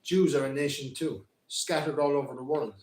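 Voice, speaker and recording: A man's voice: a little high, close to an everyday voice. A man playing a mild, earnest, reasonable man in a live reading. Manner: calm, measured, earnest. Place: community centre hall, live event. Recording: roomy hall echo.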